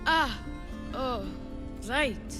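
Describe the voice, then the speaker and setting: Actress as a young hustler, defensive and eager; bright and lively, unhurried. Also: female voice, sits high, heavily acted. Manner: defensive, streetwise, a bit whiny. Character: young scheming male treasure hunter. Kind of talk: video game cutscene acting. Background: game music.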